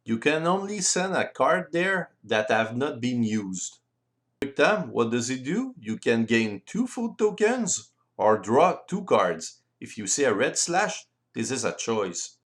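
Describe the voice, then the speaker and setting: Male tutorial narrator, French accent, slow and careful non-native delivery with a lively, swooping pitch, presenting tabletop game rules. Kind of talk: explanatory tutorial voice-over.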